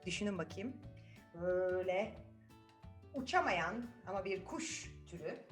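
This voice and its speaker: A woman storyteller narrating a fairy tale to children, putting questions to listeners and repeating phrases for suspense. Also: lowish voice.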